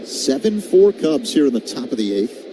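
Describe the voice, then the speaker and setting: A man's voice: medium pitch, normal speed. A man doing live play-by-play baseball commentary for radio. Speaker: play-by-play announcer. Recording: noisy stadium ambience. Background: steady ballpark crowd noise.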